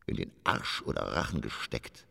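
A gravelly, weary old stage actor. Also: male voice, sing-song, sharp tone, exaggerated voice. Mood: grim, declamatory. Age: older. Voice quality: gravelly, breathy low voice. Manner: slow, theatrical, brooding delivery. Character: weary, grief-stricken old stage actor, theatrically bitter. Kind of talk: poetic radio drama monologue.

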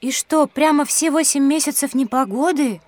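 Actress doing sensible child, high pitch, dry recording, polite, questioning, children's educational radio play. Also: female voice, moderate pace, a little mellow, dry recording, close to an everyday voice. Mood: puzzled. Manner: questioning, earnest. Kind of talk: children's educational audio drama.

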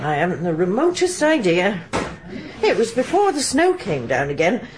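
A woman's voice: medium pitch, normal speed. An actress playing a chatty, gossipy woman innkeeper in a radio drama. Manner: gossipy, homely talk. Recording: archive radio drama with steady hiss.

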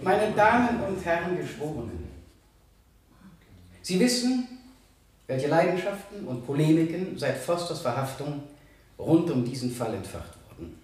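Echoey, stern courtroom prosecutor. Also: male voice, fairly high pitch, big pitch swings, darkish tone. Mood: impassioned, accusing. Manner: rhetorical, emphatic, formal, deliberate pauses. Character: stern, impassioned male prosecutor addressing a jury. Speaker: actor in a radio play. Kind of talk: 1970 German radio play, courtroom oratory. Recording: echoey courtroom sound, distant from mic.

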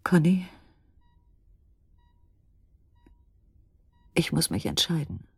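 An actress in a German radio drama playing an anguished, desperate wife and mother, speaking haltingly and intensely, dropping into whispers. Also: deep voice, big pitch swings, creaky, slightly rough, dry recording, studio quality, exaggerated voice. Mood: torn, despairing.

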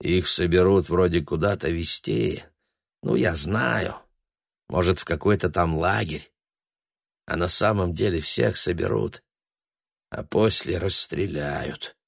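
A man's voice: medium pitch, very animated, vocal fry, breathy edge, no echo, studio quality, voice pushed up, full character voice. Man acting a blustering, hot-tempered shopkeeper. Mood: grave, hushed. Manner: blustering, quick to shout, colloquial. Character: agitated shopkeeper, hustler with colloquial southern turns of speech.

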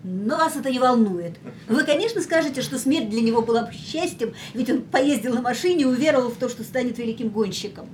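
A woman's voice: a little high, lively intonation, brisk, a little hiss or hum, natural voice. An actress in a radio drama playing a restless, defiant young woman who hides her fear, with an emotionally charged delivery. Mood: bitter reproach. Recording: studio radio play with background music.

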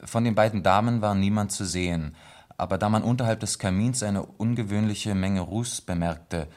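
This is an actor playing a dutiful male police lieutenant in a radio play. He has a deep voice. He reads official notes formally and with care, hesitating between items. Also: normal speed, a little mellow, lightly acted. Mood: formal, sober. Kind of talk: German radio play acting.

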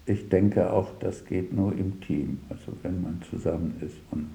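An older man reflecting on his work as a radio drama director in an interview, pausing and searching for words as he recounts anecdotes. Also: deep voice, creaky, warm tone, echoey room. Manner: halting, searching for words, pausing mid-sentence.

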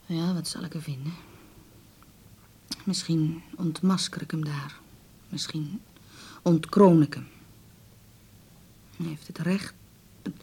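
An actress playing an anxious, loving older mother in a radio drama, speaking in breathy, theatrical phrases. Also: deep voice, mellow, exaggerated voice.

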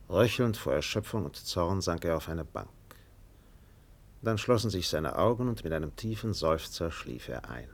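Deep, gravelly, solemn narrator. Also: male voice, exaggerated voice. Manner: solemn, measured. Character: grave storyteller of a tropical tragedy. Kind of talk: scripted literary narration in a radio play.

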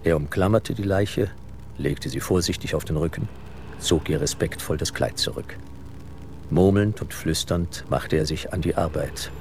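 Deep-voiced male narrator, suspenseful. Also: normal speed, darkish tone, slight background noise. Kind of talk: dramatic scripted narration.

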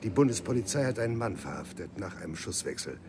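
Deep-voiced polite sheriff, noisy background. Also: male voice, big pitch swings, fast. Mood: polite, officious. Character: polite, apologetic but official American sheriff. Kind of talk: German radio drama acting.

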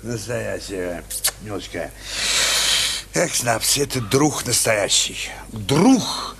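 A man's voice: lowish voice, very animated, slow, gravelly, fairly bright tone, heavily acted. An actor playing a drunken, sentimental, insistent man in an audio drama, stammering and repeating himself as he coaxes. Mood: tipsy, rapturous.

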